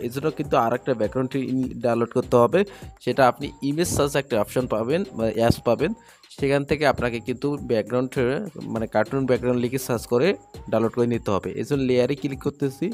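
A man's voice: mid-range voice, a little mellow. A man narrating a step-by-step screen tutorial as the host, with repetitive, instructional phrasing. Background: background music.

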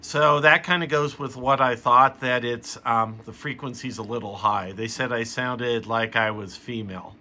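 A man's voice: mid-range voice, fairly even intonation, moderate pace, few pauses, sharp tone. An American man giving casual how-to narration as a hobbyist video host, recorded in a room at home.

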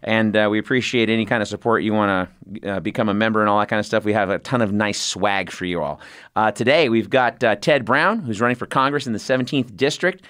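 A man's voice: lowish voice, fast talker, fairly bright tone, no room sound, studio quality. A man from California hosting a podcast interview, talking casually with lots of restarts and the odd swear word. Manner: rambling, profane, many restarts. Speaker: podcast host.

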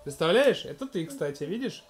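Gentle man narrating a game to his toddler. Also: fairly high pitch, sing-song, brisk, a loud crowd. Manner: gentle, coaxing, talks to the child, narrates what is on screen. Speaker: let's-play host playing with his toddler. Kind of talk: casual game commentary to a small child.

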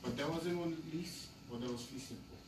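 A man's voice: fairly high pitch, a little fast. A man in the audience asks the lecturer short questions. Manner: short interjections. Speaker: audience member. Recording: echoey room from far off, noisy.

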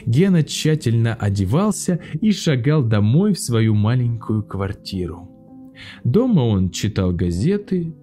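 A man, an actor, narrating a children's fairy tale in a lively, expressive way. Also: mid-range voice. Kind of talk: scripted storybook narration.

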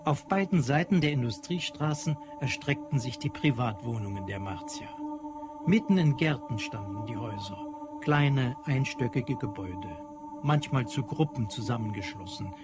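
A man narrating scripted literary prose in a measured, precise way. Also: mid-range voice, sing-song. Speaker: audio drama narrator. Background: prominent music.

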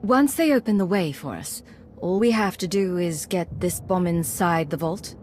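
An actress playing a cool, sceptical secret agent in a video game, speaking in a measured way. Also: female voice, fairly low pitch, animated, a little mellow, a little hiss or hum, close to an everyday voice. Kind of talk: video game cutscene acting.

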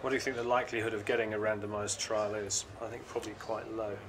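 A middle-aged man hosting a conference interview, asking brisk questions in a conversational way with an uneven pace. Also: lowish voice, flat delivery, a crowd in the background. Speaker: interviewer and panel host. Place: seated at a conference booth indoors. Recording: constant conference hall ambience.

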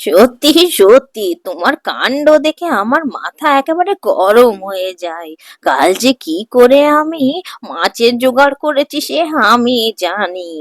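A woman's voice: mid-range voice, fairly bright tone, no room sound, close to an everyday voice. A woman voicing a doting, bossy older mother-in-law in a cartoon, fussing and emphatic. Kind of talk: cartoon voice acting.

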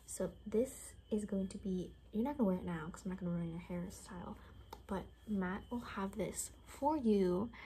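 A young woman speaking casually in a hushed, half-whispered ASMR roleplay style, close to the mic in a room. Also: mid-range voice, vocal fry. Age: young adult. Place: small furnished room indoors. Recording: noticeable background noise.

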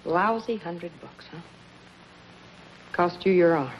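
An actress playing a bitter, desperate gangster's moll with a sardonic, clipped, dramatic delivery in a 1950s television crime melodrama. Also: female voice, lowish voice, lively intonation, slow. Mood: scornful. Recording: muffled, noisy 1950s television soundtrack.

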